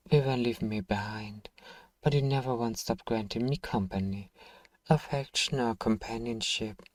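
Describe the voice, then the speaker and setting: A man voicing a thousand-year-old vampire, nostalgic, tender and sorrowful, in a soft, measured ASMR role-play delivery that dips toward whispers. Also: mid-range voice, no room sound, far from the mic, natural voice. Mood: fond, tender.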